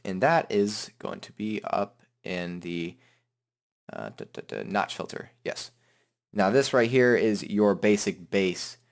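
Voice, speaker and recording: A man with a fairly low voice walks through software steps as a tutorial host. He is informal and rambling, padding his instructions with little filler syllables as he works. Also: lively intonation, slow, vocal fry. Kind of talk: casual improvised software tutorial.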